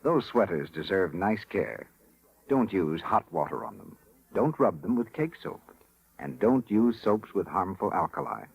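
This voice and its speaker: Casting: American male 1930s radio announcer, deep, animated, slightly rough-edged, polished sales delivery. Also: measured pace, low-quality recording. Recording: old 1930s radio broadcast.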